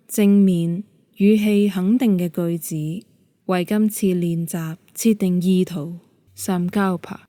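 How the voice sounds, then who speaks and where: A woman with a Hong Kong Cantonese accent leading a guided meditation in a calm, gentle, measured manner. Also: on the low side, dry recording. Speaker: yoga nidra meditation guide.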